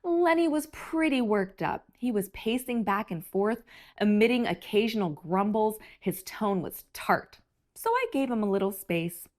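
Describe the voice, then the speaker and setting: A woman reading a picture book aloud for children with expressive, theatrical emphasis. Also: mid-range voice, unhurried. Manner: animated, theatrical emphasis for young listeners.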